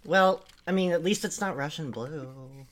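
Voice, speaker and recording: A woman's voice: deep voice, a little slow. A woman hosting a casual chat, teasing and asking the others questions with giggles. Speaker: host leading the segment.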